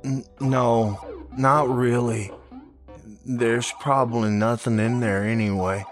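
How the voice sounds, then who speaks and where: A man's voice: mid-range voice, fairly even intonation, slow pace, faint music behind, lightly acted. A man playing a reluctant, dismissive teenage older brother in a horror story, curt and uninterested.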